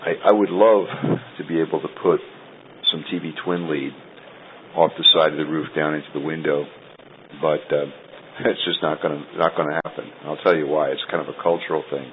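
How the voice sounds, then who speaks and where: A man chatting over a two-way radio link, talking in a loose, halting way. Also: extremely low pitch, fairly even intonation. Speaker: podcast host on a radio link call. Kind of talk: casual two-way conversation. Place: Rome. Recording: tinny internet radio link with faint hiss.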